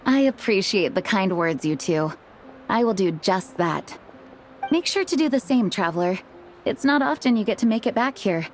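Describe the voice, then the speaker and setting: An actress playing a calm, dutiful female knight leader who speaks in a measured, kind way. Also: medium pitch, a little hiss or hum, natural voice. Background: soft game music.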